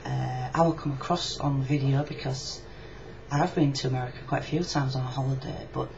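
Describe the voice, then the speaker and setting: Creaky-voiced Yorkshire woman, muffled noisy recording. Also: a bass voice, steady tone, fairly quick. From Yorkshire, England. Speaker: video blogger. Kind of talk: casual personal video reply, opinion talk. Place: indoors in a room. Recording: muffled lo-fi webcam audio, clear background noise.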